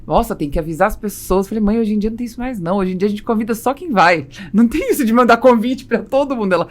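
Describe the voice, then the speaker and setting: A woman's voice: mid-range voice, lively intonation, fast. A Brazilian woman hosting a podcast interview, chatting casually and interjecting short reactions. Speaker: podcast host interviewing guests.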